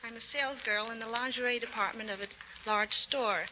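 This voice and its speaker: A woman's voice: a little high, steady tone, fairly quick, pushed and bright, long reverb tail, slight background noise. A young American woman being interviewed as an amateur contestant on a 1930s radio show. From United States. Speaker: amateur contestant and shop assistant. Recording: muffled 1930s radio recording.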